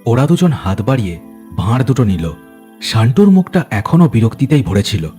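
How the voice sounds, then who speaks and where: A man's voice: medium pitch, very animated, moderate pace, warm tone, faint music behind. A man narrating a horror story in a dramatic, scripted storytelling style.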